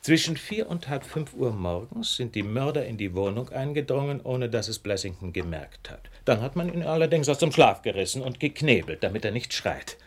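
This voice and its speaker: A brilliant radio-play detective with a widely swinging, animated delivery. He lectures calmly and precisely, with polite condescension and dry irony, at a middling pitch. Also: normal speed, few pauses. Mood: emphatic, lecturing. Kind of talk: German radio play acting.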